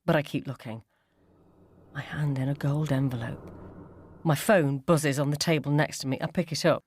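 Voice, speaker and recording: A woman reading a first-person ghost story as scripted dramatic narration, measured and suspenseful. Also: low voice, darkish tone, dry recording, studio quality. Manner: suspenseful, measured, acts out dialogue.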